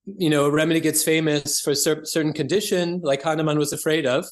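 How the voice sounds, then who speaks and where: A man's voice: on the high side, fairly even intonation, a little fast. A man speaking as an interview guest, explaining things conversationally and earnestly as a practitioner.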